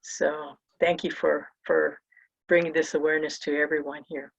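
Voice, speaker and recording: A woman's voice: deep voice, level tone, moderate pace, gravelly, slightly rough, dull audio. A woman from Hawaii, a workshop participant, offering grateful comments on a video call.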